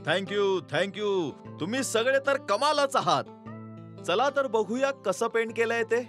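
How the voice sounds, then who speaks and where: Hot-tempered cartoon house owner, drawn-out emphatic lines, high pitch, clear music underneath. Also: male voice, animated. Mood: pleased. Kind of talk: comedy cartoon dub. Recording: loud music behind the voice.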